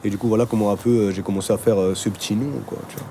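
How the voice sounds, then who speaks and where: A man's voice: lowish voice, steady tone, very fast, loud music behind the voice. A man from Argentina, telling his story in a casual, slangy interview style. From Argentina, moved to France at thirteen. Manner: slangy, informal, punctuated with conversational tags. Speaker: interviewee, music video director.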